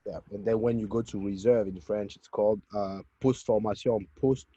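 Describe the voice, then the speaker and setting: A low-voiced man from Cameroon recounts his own life in a halting, self-correcting way. His voice is dark and husky, heard over dry, dull webinar audio. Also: steady tone, no echo. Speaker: interview guest. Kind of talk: autobiographical talk in a webinar interview.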